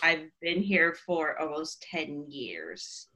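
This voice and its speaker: Lively, laughing woman from Taiwan. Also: low-pitched, takes it slowly. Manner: laughs often, chatty with many restarts. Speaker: podcast guest. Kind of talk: casual chat.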